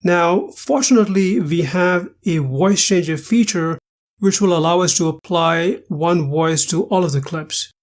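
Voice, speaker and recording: A man narrating a scripted software tutorial step by step in a methodical, instructional manner. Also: high-pitched, measured pace.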